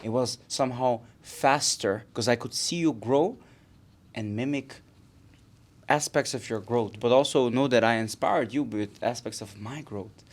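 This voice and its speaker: A man from Aruba who co-hosts a podcast, speaking in a thoughtful, soft-spoken way and searching for his words. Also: medium pitch, measured pace. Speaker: podcast co-host. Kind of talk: reflective casual chat.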